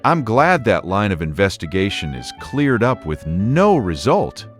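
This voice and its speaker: A musing district attorney in an audio mystery. He speaks slowly in a lowish, expressive voice with old-fashioned admiration, over light music. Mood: dreamy, admiring. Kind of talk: audiobook drama acting.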